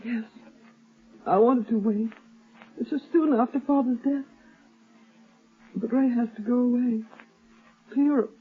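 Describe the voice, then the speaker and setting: A grieving young heiress in a 1930s radio drama, naive and defensive. Her high voice is plaintive and hesitant. The recording is tinny and narrow. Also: a little slow, pauses often, breathy edge.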